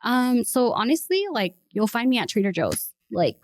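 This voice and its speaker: A woman's voice: on the high side, big pitch swings, moderate pace. A young woman co-hosting a podcast, talking casually and telling stories with lots of filler words. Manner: chatty, storytelling with many "like" fillers. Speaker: podcast co-host. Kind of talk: casual chat.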